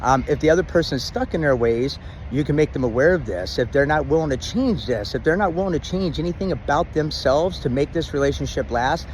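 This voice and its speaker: A man's voice: fairly high pitch, lively intonation, fairly quick, hardly any pauses, slight background noise, poor audio. Rambling middle-aged man outdoors. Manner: rambling, many restarts and ums; says he has a loud, dominant voice. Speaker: vlogger giving relationship advice. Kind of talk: casual talk to camera.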